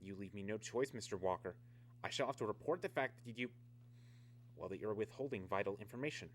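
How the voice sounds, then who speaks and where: An actor playing a stern, skeptical military major with formal authority in a 1950s radio drama style. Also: male voice, lowish voice, brisk, close to an everyday voice. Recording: old radio recording with faint noise, hum.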